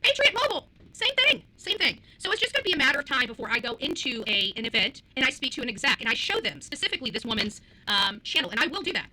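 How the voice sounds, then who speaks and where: Woman, livestream rant, very rapid delivery, higher-pitched, confrontational, emphatic, profane. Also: big pitch swings, bright, forceful tone. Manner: combative, confrontational, blunt and profane; emphatic, repeats phrases. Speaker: livestream host.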